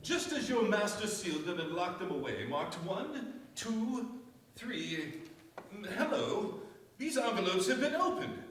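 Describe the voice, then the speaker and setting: A man's voice: sits high, big pitch swings, a little slow, a bit bright, exaggerated voice. A man playing a formal, dutiful family lawyer in a staged mystery radio play. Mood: alarmed. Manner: formal, proper. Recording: echoey stage from far off, faint noise.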